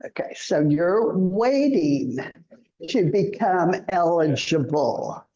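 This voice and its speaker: A woman teaching a citizenship class, speaking slowly and clearly and drilling words for students to repeat. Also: fairly low pitch, sing-song, dark tone, slight background noise. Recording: roomy, muffled classroom audio.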